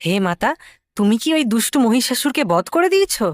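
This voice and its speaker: Confident boy god woman voicing him, highish, lively cartoon delivery, deliberate. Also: no echo, studio quality. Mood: respectful. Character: reassuring young boy god, obedient yet boldly commanding. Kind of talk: mythological cartoon acting.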